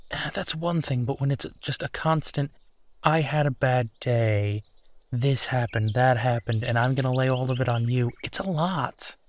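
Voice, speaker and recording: A man's voice: mid-range voice, lightly acted. Reassuring, affectionate husband, played by a man. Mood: gentle, supportive. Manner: reassuring, conversational, unhurried pauses. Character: supportive, affectionate husband comforting his partner. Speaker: audio roleplay performer. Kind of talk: intimate comfort roleplay, one side of a conversation.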